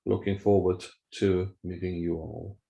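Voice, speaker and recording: A man from Germany, introducing himself haltingly in an online meeting. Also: deep voice, little pitch movement, gravelly, mellow, highs cut off. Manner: halting, many uhs. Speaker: clinical engineer. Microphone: video call. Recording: roomy video call audio.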